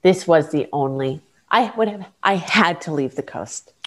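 Woman guest on a video call, animated, lively swinging voice. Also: deep voice. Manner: animated, giggly, quick to riff along. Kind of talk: casual chat over video call.

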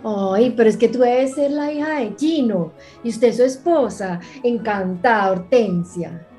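A woman's voice: a little high, unhurried, mellow, faint music behind, lightly acted. A woman playing a friendly, eagerly helpful policeman's wife in a Spanish-language radio soap. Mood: friendly, curious.

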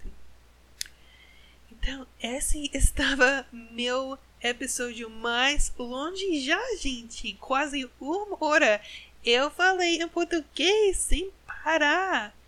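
Slow, bright-voiced woman learning Portuguese. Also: high voice. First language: English. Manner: slow, careful learner's speech with self-corrections. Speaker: podcast host. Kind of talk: casual podcast monologue.

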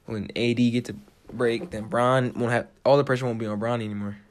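A man's voice: medium pitch, fairly even intonation, brisk. A young American man co-hosting a casual sports chat, giving his opinions in short, emphatic, informal statements. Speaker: podcast co-host.

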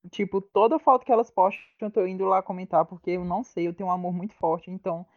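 A woman chatting as a guest on a fan podcast, soft-spoken and gushing as she rambles. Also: on the low side, steady tone, quick pace, no echo. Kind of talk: casual fan chat. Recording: dry muffled lo-fi audio.

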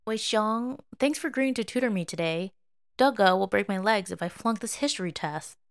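Woman reader as young gossip of a best friend; kindly, dramatic, lifted voice with animated melody, audio drama. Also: medium pitch, moderate pace, clean tone, pitched above the performer's own voice, natural voice. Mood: pleading. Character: gossipy, excitable, kind young student friend.

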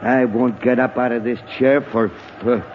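A man's voice: medium pitch, steady tone, normal speed, natural voice. An actor playing an exasperated, sarcastic middle-aged American father in a 1940s radio comedy, blustering and repeating himself. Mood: irritated. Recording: muffled, faintly noisy 1940s radio recording.

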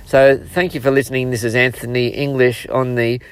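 Middle-aged man, halting solo podcast talk. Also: mid-range voice, level tone, smooth, dry recording. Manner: halting, conversational, with repeated words and pauses. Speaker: podcast host. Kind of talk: reflective solo podcast talk, storytelling with a lesson.

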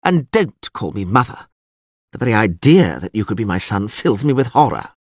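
A man voicing a peevish, sharp-tongued older priestess in a storybook, sarcastic and scornful. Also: lowish voice, very animated, normal speed, no room sound, studio quality. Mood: indignant.

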